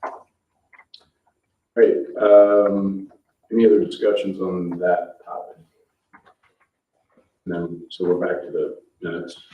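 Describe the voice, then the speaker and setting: A man's voice: sits low, steady tone, slow pace, long pauses. A man chairing a town board meeting in an informal, procedural way. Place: indoor public meeting room. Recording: muffled, echoey meeting room, distant mic.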